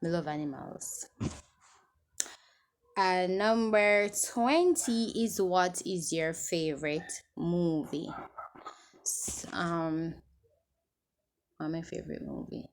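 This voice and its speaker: A woman's voice: on the low side, takes it slowly, long pauses, slight background noise. A woman in her twenties chatting casually to camera as she answers viewer questions, rambling and restarting as she loses her train of thought. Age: 20s.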